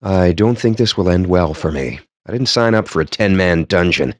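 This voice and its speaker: A verbose, nerdy male gamer for a video game. His voice sits a little low and swings widely in pitch for dramatic emphasis. The delivery is wry and pompous, captured tight and dry without room sound. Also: animated, moderate pace, dry recording. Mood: grim, sardonic. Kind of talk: video game character voice lines.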